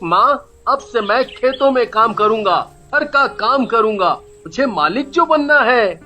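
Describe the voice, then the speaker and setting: A woman's voice: fairly high pitch, lively intonation, measured pace, sharp tone. A woman voicing an innocent, trusting little boy in a children's cartoon, childlike and earnest, with music behind. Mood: eager, obedient. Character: innocent, trusting little boy who adores his mother. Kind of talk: Hindi children's cartoon dubbing.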